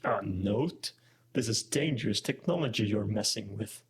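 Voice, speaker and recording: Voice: mid-range voice, expressive, normal speed, slightly rough. An actor playing an arrogant, ancient robotic alien overlord in a sci-fi audio drama, condescending and menacing. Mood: haughty menace.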